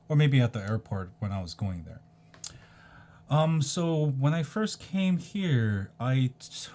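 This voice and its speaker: A man's voice: medium pitch, warm tone. A man in his forties, raised in South Korea, casually explaining things over a screen share in a rambling way, with lots of ums and restarts. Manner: rambling, many ums and restarts, repeats "go ahead and". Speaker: video creator.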